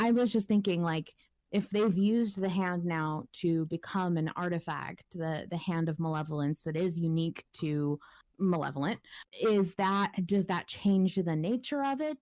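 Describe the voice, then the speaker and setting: A woman with a slightly low voice chats informally as part of a podcast panel. The sound is close and dry, and her delivery is loose and conversational. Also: a little mellow, no room sound. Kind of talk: casual chat discussion of a fiction podcast.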